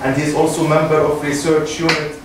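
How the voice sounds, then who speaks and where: A man moderating an event, introducing speakers in an unscripted, halting and hesitant way. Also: fairly high pitch, monotone. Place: university room. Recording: echoey room, distant mic, noisy.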